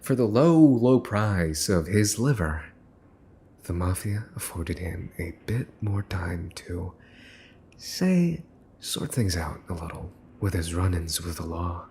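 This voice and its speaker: A man's voice: low-pitched, expressive, slow pace, darkish tone, slight background noise. A man playing a mafia boss's son in an ASMR roleplay, smug, teasing and menacing, with a mocking theatrical drawl. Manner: mocking, theatrical drawl with mock-cute wording. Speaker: voice actor.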